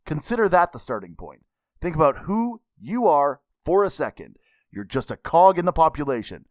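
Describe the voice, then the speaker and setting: A man's voice: on the high side, sing-song, moderate pace, no room sound, clean studio sound, voice pushed up. A man voicing a cocky anime mentor who lectures bluntly and mockingly. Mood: scolding. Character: cocky, scolding adult anime mentor, a self-declared commoner.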